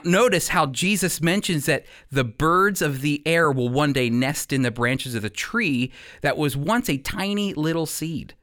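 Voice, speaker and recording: A man giving an expository Bible teaching as a radio program teacher, speaking emphatically and addressing listeners directly with pauses for emphasis. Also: a little high, fairly bright tone.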